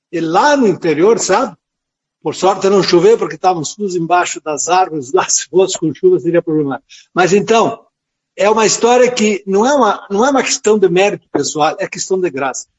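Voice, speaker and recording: Man in his late 70s, southern Brazilian accent, high voice, priest, halting devout storytelling, slightly muffled recording. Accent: southern Brazilian, Rio Grande do Sul. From rural southern Brazil. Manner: halting, repeats words, devout and earnest. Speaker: priest and friar, interview guest. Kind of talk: reflective personal storytelling in a radio interview.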